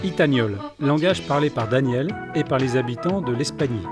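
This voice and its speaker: A man's voice: medium pitch, animated, normal speed. A man hosting a student radio show, reading mock definitions and interviewing a guest with some hesitation. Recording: music bed under the voice.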